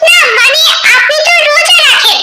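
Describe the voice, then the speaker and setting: A woman's voice: very high voice, normal speed. A woman voicing a hungry, complaining younger co-wife in a cartoon, whiny and indignant. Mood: indignant surprise. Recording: clearly noisy background ambience.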